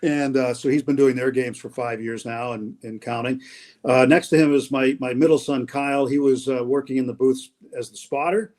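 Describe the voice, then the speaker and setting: A middle-aged man from New Hampshire, a radio baseball announcer, chatting as an interview guest in a relaxed, rambling way with many fillers. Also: mid-range voice, moderate pace. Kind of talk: casual interview conversation.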